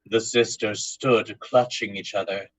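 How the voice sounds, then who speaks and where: A man's voice: lowish voice, steady tone, unhurried, rough recording. A man playing a solemn narrator in an old-time radio drama. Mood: solemn, ominous.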